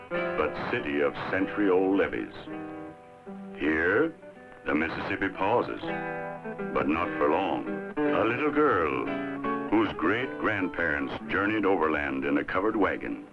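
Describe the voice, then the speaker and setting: A man reading scripted educational film narration in a measured, dramatic way with deliberate pauses. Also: deep voice. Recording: muffled old film soundtrack. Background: plucked guitar music.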